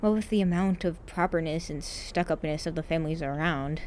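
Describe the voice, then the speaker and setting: A woman narrates a piece of fiction in a slightly low voice. She reads from a script in the manner of an audiobook storyteller. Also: fairly quick, a little mellow, a little hiss or hum. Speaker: narrator of a fan fiction podfic.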